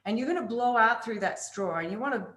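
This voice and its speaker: A woman voice coach talks through her methods in a relaxed interview. Her delivery is expressive, and she swings between high and low tones to demonstrate. The recording carries noticeable room echo. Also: quick pace, a little hiss or hum. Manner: expressive, demonstrates pitch swings while explaining. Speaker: voice coach guest. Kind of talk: casual interview chat.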